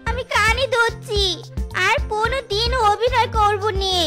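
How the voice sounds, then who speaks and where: A woman's voice: very high-pitched, steady tone, measured pace. A woman voicing a scheming, greedy young fox wife in a comic cartoon, whiny and theatrical. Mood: pleading. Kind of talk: Bangla comic cartoon voice acting. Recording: loud music behind.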